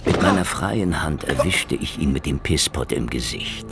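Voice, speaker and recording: Sardonic hardboiled detective, swinging pitch. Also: male voice, lively intonation, normal speed, creaky, very noisy, heavily acted. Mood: gloating, hardboiled. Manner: sardonic, wisecracking, dry. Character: cynical hardboiled Soviet secret police detective, sardonic and defiant. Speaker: lead actor and first-person narrator. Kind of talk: German hardboiled radio crime drama acting.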